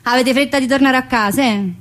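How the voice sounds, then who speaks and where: A woman's voice: sits high, fairly quick, a bit bright. A woman playing a cheeky, needling female prison inmate in a colloquial theatre monologue, with a southern Italian accent and a teasing, familiar manner. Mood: teasing, cajoling. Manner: teasing, familiar, full of rhetorical questions. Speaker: stage actress performing a monologue. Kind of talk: theatrical monologue in colloquial dialect-tinged speech.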